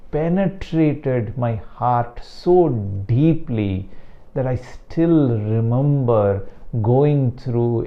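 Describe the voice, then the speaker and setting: An older man from South India with a Tamil-accented English, a meditation teacher speaking slowly and deliberately about his guru. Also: mid-range voice, dull audio. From Chennai, South India. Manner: slow, deliberate, emphatic on key words. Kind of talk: reflective talk to camera.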